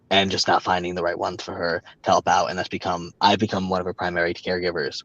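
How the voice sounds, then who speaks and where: Young man, very low voice, halting and hesitant, dry muffled sound. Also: dry recording. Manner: halting, many fillers and restarts. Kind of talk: casual storytelling.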